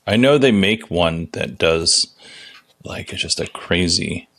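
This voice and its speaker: A man's voice: deep voice, animated, takes it slowly, fairly bright tone, no room sound, clean studio sound. A man co-hosting a podcast, chiming in with short, easygoing replies and questions in casual chat. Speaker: podcast co-host.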